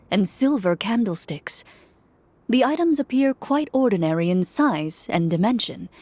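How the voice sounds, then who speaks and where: A woman reading a game story aloud in steady, measured narration. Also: mid-range voice, no echo. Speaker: story reader.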